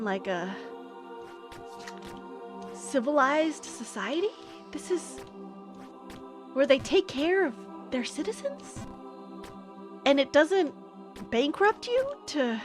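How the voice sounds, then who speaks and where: A young American woman streamer telling a story in a casual, rambling way, with many fillers and restarts. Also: sits high. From United States. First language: English.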